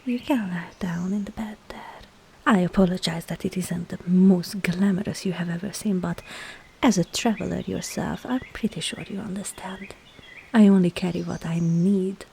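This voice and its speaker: A woman playing a sly, coaxing witch herbalist in an ASMR roleplay, speaking softly and often slipping into a whisper. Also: medium pitch, creaky, a little hiss or hum, lightly acted.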